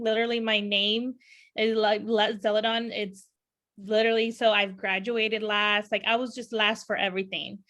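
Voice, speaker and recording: A woman's voice: mid-range voice, fairly bright tone. A woman from California hosting a podcast interview, chatting casually with lots of fillers and frequent laughter. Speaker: podcast host.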